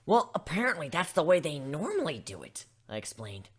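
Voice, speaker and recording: A man voicing a besotted female griffin in a story reading, husky, dreamy and gushing. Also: on the high side, huge pitch swings, brisk, exaggerated voice. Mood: dreamy, smitten. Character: besotted female griffin telling intimate stories.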